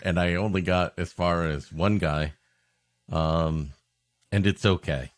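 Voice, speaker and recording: A man's voice: deep voice, fairly even intonation, takes it slowly, dry recording, clean studio sound. Hesitant, easygoing-paced man podcasting. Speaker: podcast co-host. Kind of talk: casual comic chat.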